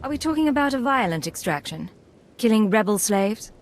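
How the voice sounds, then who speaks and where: A woman's voice: a little high, big pitch swings, a little fast, slight background noise. An actress playing a composed, direct female Imperial secret agent in a video game. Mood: cool, composed.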